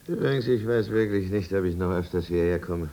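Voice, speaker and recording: A man's voice: sits low, warm tone, a little hiss or hum. An actor playing a tipsy, flirtatious, self-pitying businessman in a 1950s German radio drama, wheedling and over-familiar.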